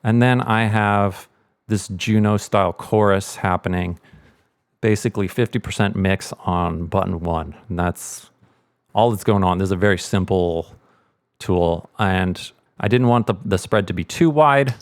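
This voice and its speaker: Male sound designer, low register, informal walkthrough style, thinking aloud on a dry, close mic. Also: unhurried, smooth, no echo. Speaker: sound designer giving a tutorial. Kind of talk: casual screen tutorial.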